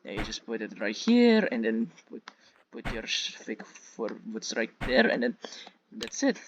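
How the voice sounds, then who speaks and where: A man's voice: fairly low pitch, big pitch swings, a little slow, background noise. A man from Russia with a Russian accent, giving casual, rambling gameplay commentary and often repeating himself. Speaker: YouTube game reviewer. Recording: dull lo-fi mic with music behind.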